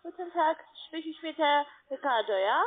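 A woman with a foreign accent and non-native phrasing, giving an insistent sales pitch as a call centre agent. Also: lively intonation, moderate pace. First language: not German. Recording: band-limited phone call audio.

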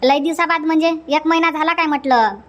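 High-pitched voice, woman acting as teasing cartoon village aunt, exclamatory, Varhadi Marathi accent, rural comedy dialogue. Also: fairly even intonation, fairly quick, fairly bright tone.

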